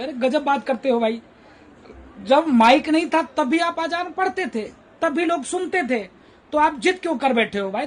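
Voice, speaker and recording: A man giving an emphatic, scolding reaction to the press with rhetorical questions. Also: very high voice.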